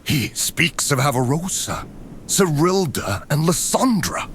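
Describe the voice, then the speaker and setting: A man playing a devout, awestruck champion warrior of the frozen north in a fantasy audio drama, reverent and breathy. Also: on the high side, sing-song, measured pace, a bit bright, a little hiss or hum. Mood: reverent awe.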